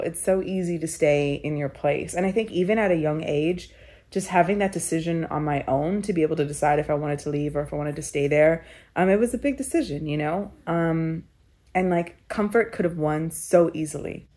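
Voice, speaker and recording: A young woman from Kenya with a lowish voice tells her own story in a sit-down interview. She speaks reflectively and casually, leaning on filler words as she thinks aloud. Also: fairly quick, mellow. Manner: reflective, conversational, many "like" fillers. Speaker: storyteller guest.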